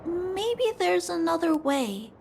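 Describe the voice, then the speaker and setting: A woman voicing a gentle, earnest young girl in a video game, sincere, soft-spoken and a little hesitant. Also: very high voice, very animated, takes it slowly, creaky, heavily acted. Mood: earnest, hopeful. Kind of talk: video game character dialogue. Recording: game music behind the voice. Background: music.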